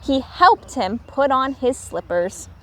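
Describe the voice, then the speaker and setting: A woman teacher reading a children's storybook aloud in an expressive, deliberate way. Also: high-pitched, noisy background.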